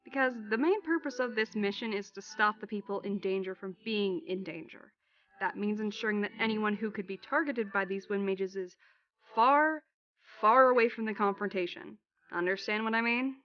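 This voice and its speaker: A woman's voice: medium pitch, moderate pace, lo-fi sound, close to an everyday voice. A woman playing a loyal, decisive best friend who leads the planning in a fantasy audio drama. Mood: serious, reassuring. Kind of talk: fantasy audio roleplay acting.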